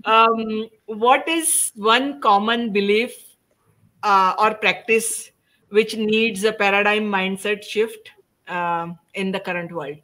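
A woman's voice: mid-range voice, fairly even intonation, slow pace, pushed and bright. A middle-aged woman hosts an online panel in an informal style. She takes her time as she puts questions to the group and prompts people to answer. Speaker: host of a panel discussion. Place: indoors at home, on a video call.

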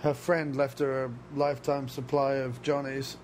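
A man's voice: medium pitch, level tone, moderate pace, darkish tone, slight background noise. A man co-hosting a podcast, riffing crudely on a movie with lots of fillers. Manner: rambling, joking, many fillers. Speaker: podcast co-host. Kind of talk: crude comedic movie commentary.

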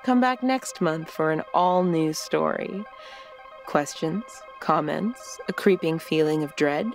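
A woman's voice: low-pitched, slow pace, faint music behind. A woman host giving scripted dramatic narration of a story.

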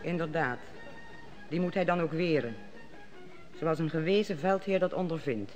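A woman's voice: low-pitched, normal speed, a little mellow, in a large hall, soft background music, natural voice. An actress playing a proud, commanding queen in an old radio play. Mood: regal. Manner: commanding, formal. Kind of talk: 1950s Dutch radio drama acting.

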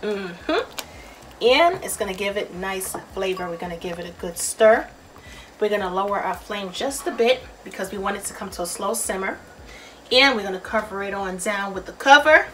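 A woman's voice: mid-range voice, expressive, moderate pace, a bit bright, slight background noise, low-quality recording. A woman narrating a recipe casually as a cooking host, chatty and playful, talking straight to viewers.

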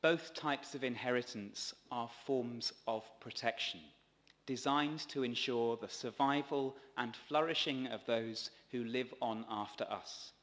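A man in his thirties, a priest, delivers a scripted sermon in a measured, earnest way from a church pulpit. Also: mid-range voice, fairly bright tone. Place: large stone church, at a pulpit. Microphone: gooseneck lectern microphone. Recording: long church reverb.

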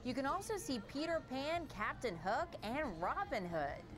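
A woman's voice: sits high, very animated, a little slow, music in the background. A woman co-hosting a parade broadcast with cheery, playful, upbeat scripted commentary.

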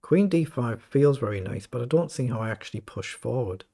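Croaky man muttering through a chess game. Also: mid-range voice, lively intonation, a little fast, mellow. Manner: thinking aloud, muttering over moves. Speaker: chess streamer. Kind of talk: live game commentary.

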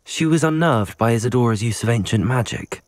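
A man's voice: medium pitch, animated, a little fast, dry recording, clean studio sound, natural voice. An actor playing an earnest young wizard student who reports urgent news to his elders.

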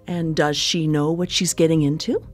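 An actress playing a devoted, possessive sister and anxious caretaker in a naturalistic radio drama, speaking in a halting, earnest, pressing way. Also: female voice, low-pitched, level tone, soft background music. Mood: challenging, pressing.

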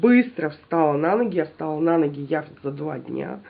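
A woman's voice: low-pitched, moderate pace, gravelly, phone-quality sound. A woman with a stuffy nose from a cold, chatting casually to her viewers as she shows off a shopping haul at home. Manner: chatty, animated, addresses viewers directly.

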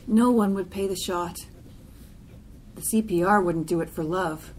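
A woman reading a literary poem aloud in deliberate, clipped phrases. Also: lowish voice, a little mellow, slight background noise. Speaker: poetry reader.

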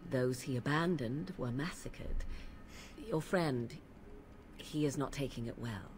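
A woman's voice: very deep voice, slow pace, background noise. An actress playing an aloof, sardonic young witch of the wilds in a fantasy game, speaking with haughty, archaic formality. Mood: grave, detached. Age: young adult. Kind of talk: fantasy video game acting.

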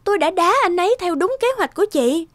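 A woman's voice: extremely high pitch, lively intonation, fast talker, no room sound. A woman playing a brazen, defiant young mistress in a cartoon drama. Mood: smug.

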